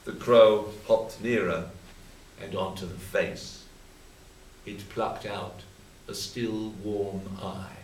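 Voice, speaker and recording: Low-voiced man giving a steady prose reading, captured from a distance in an echoey hall with faint background noise. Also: slow pace. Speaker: co-author at a reading. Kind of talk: reading prose aloud to an audience.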